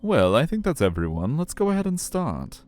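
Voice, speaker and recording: Guy doing a perky high voiced aquarium guide, friendly n eager, visual novel game, music behind. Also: huge pitch swings, normal speed, a little mellow. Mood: chipper. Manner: chipper, announcing like a tour guide. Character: friendly, eager, nervous aquarium guide, cheerful tour leader.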